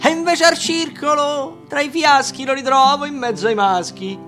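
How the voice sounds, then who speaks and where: A man's voice: very high-pitched, steady tone, normal speed, pushed and bright. A man voicing a wife grumbling about her husband, half sung over a guitar. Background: guitar accompaniment.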